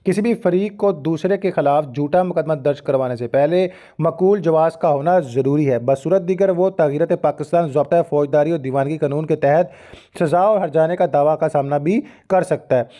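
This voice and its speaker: Man, Pakistani accent, slightly high-pitched voice, formal instructive lecturer, read-out legal notes mixed with explanatory asides. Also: moderate pace, warm tone. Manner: formal, instructive, addressing students directly. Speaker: law lecturer and channel host.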